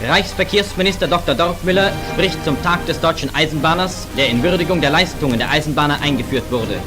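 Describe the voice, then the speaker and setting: Old forties newsreel announcer guy, kinda high voice, formal and declamatory, loud music under him. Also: brisk. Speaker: newsreel narrator. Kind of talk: 1940s German wartime newsreel narration. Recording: 1940s newsreel soundtrack, loud music behind.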